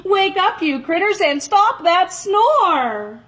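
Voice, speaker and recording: A woman acts out an insistent young boy from a children's storybook, kept from sleep. The voice jumps high and swings widely, with loud, commanding calls that drag out the final words. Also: very animated, slow pace, heavily acted. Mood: insistent, exasperated.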